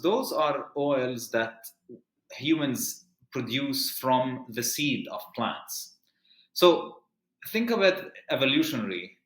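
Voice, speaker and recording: A man's voice: medium pitch, fairly bright tone. A man who grew up in the Middle East talks as a physician guest on a podcast. He explains things earnestly and steadily, often stopping to restart a phrase. The room around his voice has a noticeable echo. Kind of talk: podcast interview.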